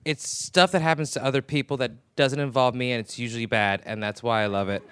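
A man's voice: mid-range voice, steady tone, fairly quick, background noise. A man guest who hosts a gossip podcast, talking in a gleeful, mischievous way. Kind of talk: casual panel chat.

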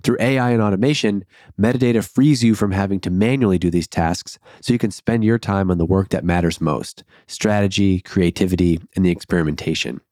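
A man's voice: deep voice, brisk, darkish tone, dry recording, clean studio sound. A man hosting a podcast, reading an ad and chatting with loose, jokey asides. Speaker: podcast host. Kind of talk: casual podcast chat and ad read.

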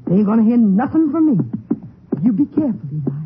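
A woman's voice: on the high side, sing-song, fast. An actress playing a protective, fearful young widowed mother with a Southern US accent and folksy, urgent delivery in a 1950s radio drama. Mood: resolute protective. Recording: tinny, noisy 1950s radio recording.

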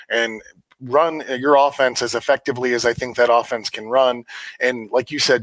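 A former player talks through a game in a measured, thoughtful way. His voice sits at middle pitch and is recorded dry and close, as from a stadium press box. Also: fairly bright tone, dry recording, poor audio. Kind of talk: sports analysis talk.

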